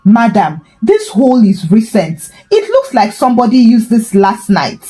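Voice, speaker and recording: A woman's voice: fairly high pitch, huge pitch swings, normal speed, mellow, poor audio. A woman storyteller voicing an observant, frank male electrician who speaks in earnest declarations in a folktale. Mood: earnest warning.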